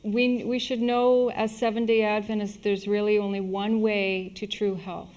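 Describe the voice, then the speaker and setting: A woman giving a public health lesson in short, plain segments, pausing for an interpreter. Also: mid-range voice, little pitch movement, a little mellow. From Canada. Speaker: health lecturer, medical missionary.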